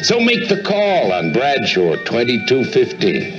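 An actor playing a middle-aged American property developer delivering a grandiose, persuasive 1940s radio advertisement. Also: male voice, medium pitch, wildly swooping pitch, unhurried. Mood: upbeat, stately. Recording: dull old radio, music behind.

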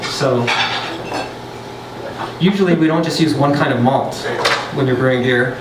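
A man's voice: medium pitch, measured pace, recorded from a distance, heavy background noise. A man, a brewer, giving a chatty science talk to a pub audience, with joking asides and frequent restarts. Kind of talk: casual lecture to a pub audience. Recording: roomy, off-mic, loud background.

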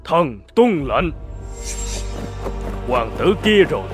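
A man voicing a stern, menacing eagle general in an animated fairy tale. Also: on the high side, slow pace, soft background music. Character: menacing eagle general serving the heavenly emperor.

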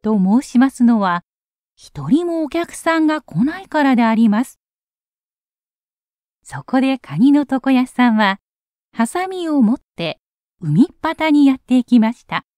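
A woman narrating a children's story in an expressive storytelling style. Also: high-pitched, a little slow, long pauses, dry recording, clean studio sound.

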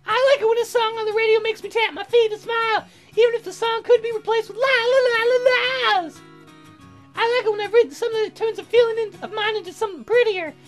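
A woman voicing a brash, excitable young female songwriter in a visual novel, with theatrical exclamations. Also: very high voice, pitched above the performer's own voice.

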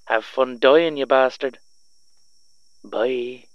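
A man's voice: medium pitch, very slow, dry recording. Man playing a taunting young half-vampire villain, muffled. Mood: bitter, vengeful. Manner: theatrical, sardonic, taunting. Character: young half-vampire son, smug, vengeful, taunting in a recorded message. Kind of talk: audio roleplay villain monologue.

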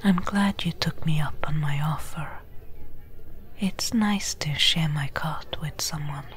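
A woman playing a friendly, seasoned desert trader in a soft, breathy, gentle whispered ASMR roleplay. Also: low voice. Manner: slow, gentle, unhurried.

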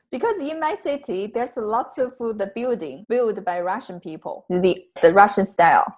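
A woman's voice: mid-range voice, expressive, normal speed. Young woman with a Mandarin Chinese accent, patiently explaining. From Northeast China. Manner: patient explaining, frequent fillers and soft laughs. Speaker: Chinese teacher. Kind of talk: casual language lesson on a video call. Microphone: video call, earphone mic.

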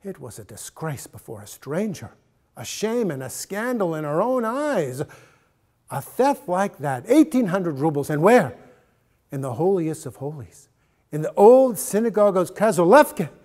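Animated older man reading a story, high-pitched. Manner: emphatic, dramatic pauses and repetitions. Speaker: actor reading a short story aloud. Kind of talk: theatrical story reading, dramatic. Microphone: lapel mic.

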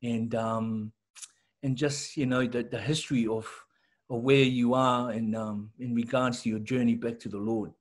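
A middle-aged man hosting an interview over a video call from home, encouraging and quick to affirm his guest. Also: mid-range voice, fairly even intonation. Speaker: host. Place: home room. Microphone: laptop webcam video call.

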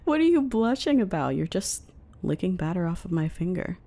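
A woman's voice: on the low side, big pitch swings, dark tone, exaggerated voice. A woman playing a coy, teasing, dominant wife in an intimate roleplay audio, talking playfully to the listener. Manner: coy, teasing, slow breathy murmurs mixed with playful chatter.

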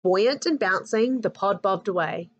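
A woman in her thirties with a New Zealand accent reads a children's picture book aloud with an expressive, sing-song rhyming delivery. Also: medium pitch, unhurried, lo-fi sound. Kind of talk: storybook read-aloud for children. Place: living room at home. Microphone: webcam at arm's length.

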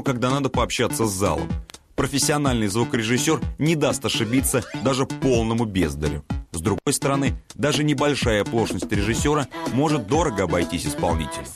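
Male narrator, mid-pitched, steady scripted documentary read, music bed underneath. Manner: measured, explanatory.

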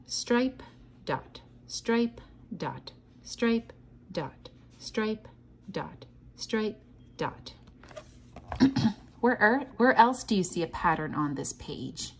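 A woman's voice: mid-range voice, animated, extremely slow, long pauses. A woman teacher leading a children's read-along lesson, encouraging, asking questions and chanting patterns aloud. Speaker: teacher reading a picture book to children. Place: indoors at a table.

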